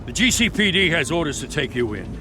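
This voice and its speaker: An actor playing a middle-aged police lieutenant, blunt, wary and gravelly, in a dramatic game. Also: male voice, on the high side, sing-song, fast talker, background noise. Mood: wary, exasperated. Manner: exasperated, blunt.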